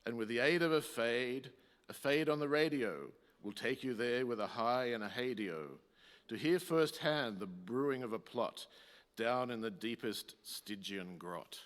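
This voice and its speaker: A man narrates a rhymed radio play as its announcer. His mid-pitched voice is declamatory and theatrical, carried by the long reverb of a hall. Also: animated, measured pace, a bit bright. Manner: declamatory, storytelling. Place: community hall, live performance.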